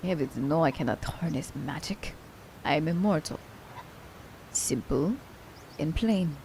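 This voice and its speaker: Woman playing a low, gravelly, cunning assassin. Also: a little slow, a little mellow, a little hiss or hum. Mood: sly, musing. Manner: theatrical, formal storybook diction. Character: immortal female assassin, cunning, teasing, haughty, romancing her captive. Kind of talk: ASMR roleplay audio drama.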